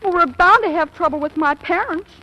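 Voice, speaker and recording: A woman's voice: extremely high pitch, fairly quick, sharp tone. A woman playing a gushing, melodramatic fifteen-year-old girl in love, in 1940s radio acting style. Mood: flustered, smitten. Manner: gushing, breathy hesitations and exclamations. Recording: muffled, noisy vintage radio recording.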